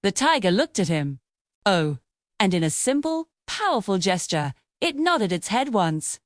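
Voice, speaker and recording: A woman narrating a scripted children's bedtime story in an expressive, dramatic storytelling manner. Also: medium pitch, a little slow, no echo, studio quality.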